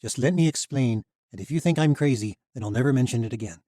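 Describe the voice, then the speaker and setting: A man's voice: fast, mellow, no room sound, studio quality, lightly acted. A man doing a weary haunted young man. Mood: pleading. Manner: hesitant, low and pleading. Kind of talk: audiobook character voice.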